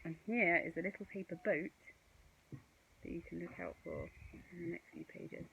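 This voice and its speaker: A woman reading a children's picture book aloud in an expressive, sing-song way, with chatty asides to young viewers. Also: lowish voice, normal speed, gravelly, slight background noise, low-quality recording. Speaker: story reader.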